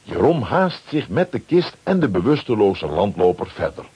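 A man with a husky voice narrating a children's adventure radio play in dramatic, scripted storytelling style. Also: on the low side, big pitch swings, normal speed, darkish tone, slight background noise.

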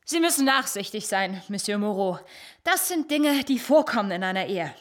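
A woman's voice: medium pitch, animated, normal speed, close to an everyday voice. A woman playing a proud, wronged bourgeois wife accusing her husband in a radio drama. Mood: strained, composed.

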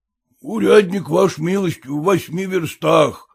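A man's voice: high-pitched, unhurried, creaky, husky, exaggerated voice. A man doing a placating peasant driver. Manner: coaxing, soothing.

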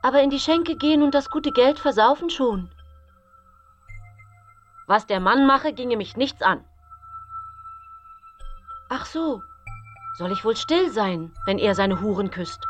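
Scornful wife, slightly dull sound. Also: female voice, on the high side, animated, takes it slowly, pauses often. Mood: defiant. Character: proud, scornful wife quarrelling in historical crime drama. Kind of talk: radio drama acting. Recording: dull, narrow sound with faint music.

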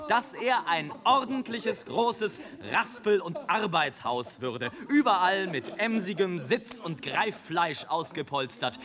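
An actor playing a sardonic airship traveller who looks down on mankind, mocking and oratorical in a literary radio play monologue. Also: male voice, sits high, pushed and bright, heavily acted. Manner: mocking, oratorical, rolling long sentences. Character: sardonic male airship traveller, grimly mocking his fellow men.

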